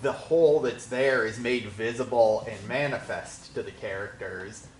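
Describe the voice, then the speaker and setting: A man with a middle-pitched voice thinks out loud, turning his ideas into questions. The room is echoey, with a faint hum of ambience. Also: unhurried. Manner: thoughtful, questioning. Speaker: audience member giving feedback. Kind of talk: casual discussion.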